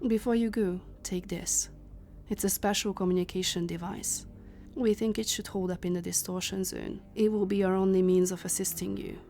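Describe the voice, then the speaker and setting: A woman playing a composed, authoritative leader of a secret time-travel organization, speaking formally and with measured command. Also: mid-range voice, fairly even intonation, warm tone, soft background music, lightly acted. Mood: instructing, calm. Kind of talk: cinematic audio roleplay acting.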